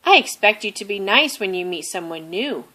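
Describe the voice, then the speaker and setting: A woman's voice: mid-range voice, lively intonation, moderate pace, pushed and bright. A woman voicing a caring but firm mother turtle in a storybook, with an encouraging, motherly manner.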